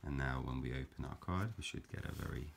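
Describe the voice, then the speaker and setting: Deep gravelly guy doing a calm paper craft tutorial, lots of ums. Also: slight background noise. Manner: gentle, measured, explanatory with frequent fillers.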